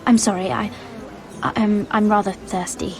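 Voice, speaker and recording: A woman playing a shrewd young noblewoman in a fantasy game, speaking politely and with measured care. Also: mid-range voice, fairly even intonation, crowd noise, natural voice. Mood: flustered, polite. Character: poised, shrewd young noblewoman scheming at court. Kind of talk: fantasy video game acting. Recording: music behind the dialogue.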